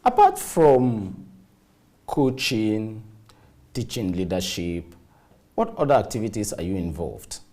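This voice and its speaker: Low-voiced male interviewer. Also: sing-song, slow, pauses often, warm tone. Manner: formal, long framing questions. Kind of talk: interview questions.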